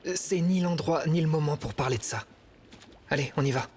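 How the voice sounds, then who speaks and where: A man's voice: on the high side, a little fast, darkish tone, slight background noise, lightly acted. A man voicing a practical, friendly teenage boy leading his friends in a French game dub. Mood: awkward, firm.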